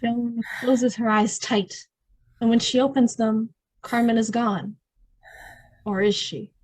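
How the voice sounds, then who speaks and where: A woman's voice: fairly high pitch, fairly even intonation, slow pace, a little mellow, rough recording. A woman narrator reading scripted old-time radio drama narration.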